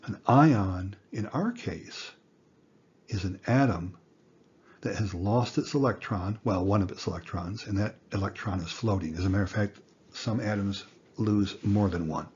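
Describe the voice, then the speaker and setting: A man in his sixties giving an educational lecture in plain words, with a deliberate, folksy manner. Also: on the low side, darkish tone, lo-fi sound, slight background noise. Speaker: instructor.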